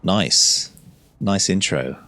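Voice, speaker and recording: A man's voice: low voice, very slow, background noise. A man giving casual let's play commentary as host, gushing and easily delighted, with muttered asides. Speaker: host of gameplay videos.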